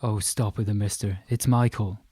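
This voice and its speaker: A man's voice: on the low side, fairly even intonation, normal speed, dark tone, husky, no echo, studio quality. A man voicing a boastful, chattering Irishman in his fifties with a menacing streak, in an audiobook. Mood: effusive, jovial. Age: 50s. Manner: chattering, effusive, emphatic. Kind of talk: audiobook character dialogue.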